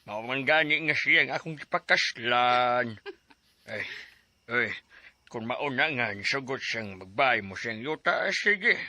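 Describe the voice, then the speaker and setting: A man's voice: mid-range voice, animated, unhurried, pushed and bright. An actor playing a joking middle-aged father who teases and reminisces in a radio drama. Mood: teasing. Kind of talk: Cebuano radio drama acting.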